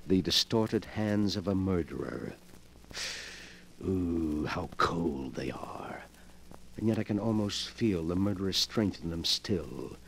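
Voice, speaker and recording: Sly, morbid gentleman, low-voiced. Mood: gloating, then hushed, ghoulish. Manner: effusively polite, theatrical, relishing his words. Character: sly, morbid invalid gentleman gloating over macabre collection. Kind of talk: 1940s radio mystery acting. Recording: vintage 1940s radio broadcast.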